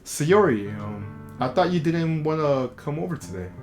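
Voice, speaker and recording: A man's voice: fairly high pitch, lively intonation, moderate pace, darkish tone, pitched above the performer's own voice, close to an everyday voice. A man voicing an earnest, protective teenage boy protagonist in a visual novel, speaking reassuringly over music. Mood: puzzled. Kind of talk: visual novel character reading.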